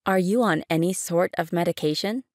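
A woman reading short English phrases for a language lesson, saying each one three times, slowly and clearly. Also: fairly low pitch, no room sound, clean studio sound. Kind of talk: slow repeated phrase reading.

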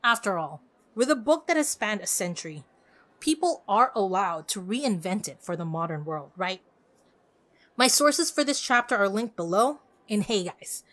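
A woman delivers a scripted podcast review on her own. Her voice sits at a medium pitch and moves up and down a great deal, giving her opinions an expressive, animated shape. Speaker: podcast host.

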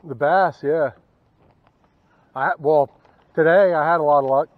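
A man's voice: a little high, a little slow. A young man with a Southern US accent, talking casually to the camera while fishing from a small boat, muttering asides and cursing at fish. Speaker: fishing channel host. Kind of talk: casual fishing vlog commentary. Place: small boat on a wooded river outdoors. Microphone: action camera on the boat. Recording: slightly dull outdoor camera audio.

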